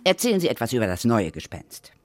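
A woman playing a brisk, reassuring ghost hunter with a matter-of-fact, insistent manner in a children's audio drama. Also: extremely low pitch, big pitch swings, dry recording, clean studio sound, full character voice. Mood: businesslike.

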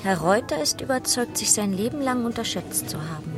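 An actress narrating a German radio play in a clipped, dry, ironic manner. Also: female voice, on the high side, animated, a little fast, lightly acted. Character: wry, ironic female narrator. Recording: music behind the voice.

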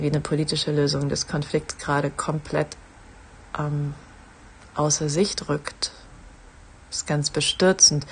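A woman philosopher leaving a reflective audio message, thoughtful and halting as she searches for words. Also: low voice, a little hiss or hum. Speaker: philosopher, podcast guest.

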